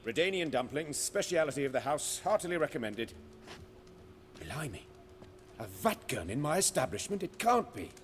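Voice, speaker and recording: An actor playing a lively, boastful middle-aged dwarf innkeeper in video game dialogue. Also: male voice, fairly high pitch. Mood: welcoming. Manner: lively, boastful, quick to exclaim.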